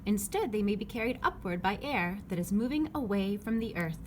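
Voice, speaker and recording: A woman reading a children's picture book aloud, phrasing it clearly and simply for young listeners. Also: mid-range voice, big pitch swings, brisk. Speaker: read-aloud narrator. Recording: clearly noisy background ambience.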